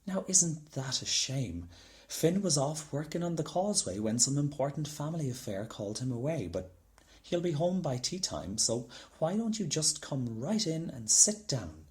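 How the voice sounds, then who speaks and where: A man voicing a giant's wily wife in a storybook, sweet and coaxing with a calm cunning. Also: fairly high pitch, moderate pace. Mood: sweetly sly. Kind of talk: storybook character voice.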